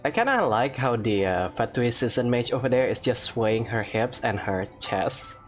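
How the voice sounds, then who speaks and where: Female gaming host: casual, wry, very low register, lively intonation. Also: normal speed, faint music behind. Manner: animated, wry. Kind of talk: casual gameplay commentary with dubbed-along dialogue.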